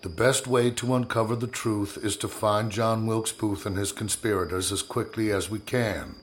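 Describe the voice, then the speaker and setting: An actor playing a stern, commanding 1860s Secretary of War in a historical audio drama, speaking with clipped, formal authority. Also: male voice, lowish voice, fairly even intonation, moderate pace, close to an everyday voice. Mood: grave, controlled. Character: stern, commanding male Secretary of War in 1865, grimly resolute. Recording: dramatic music under the voice.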